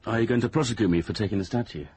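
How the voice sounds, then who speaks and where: Husky, uneasy young British man. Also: on the low side, very fast, darkish tone, dry recording. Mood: uneasy, defensive. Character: uneasy, evasive young man caught in trouble. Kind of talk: radio drama acting.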